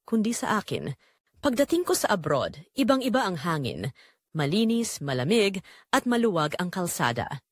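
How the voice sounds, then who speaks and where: Expressive Filipino woman narrating, dry sound. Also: medium pitch, no room sound. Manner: emotive, confessional storytelling. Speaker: audio drama narrator. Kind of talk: first-person dramatic scripted narration.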